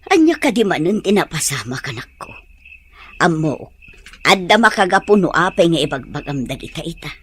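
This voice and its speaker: A woman acting a loving, long-suffering mother in a radio drama, expressive and motherly, with an Ilocano Filipino accent. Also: lowish voice, normal speed, slightly rough. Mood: weary, concerned.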